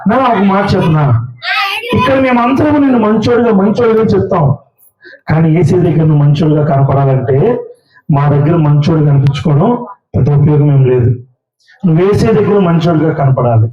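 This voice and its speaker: Coaxing Sunday school teacher in a hall. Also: male voice, on the high side, a little fast. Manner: coaxing, questioning a child. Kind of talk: children's puppet show teaching. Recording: roomy, distant, dull sound.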